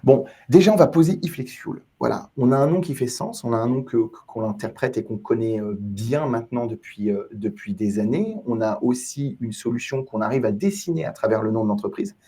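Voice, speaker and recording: A man hosting a trade-show podcast, with a mellow, slightly husky voice. He welcomes his guest and frames questions in an upbeat, promotional host patter. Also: medium pitch, lively intonation, fairly quick, hardly any pauses.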